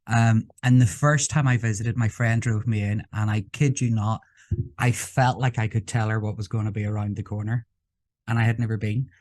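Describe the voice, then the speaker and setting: A man's voice: lowish voice, fairly even intonation, moderate pace, darkish tone, no room sound. A man with an Irish accent, hosting a casual interview in an effusive, emphatic way. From Ireland. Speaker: interviewer, host.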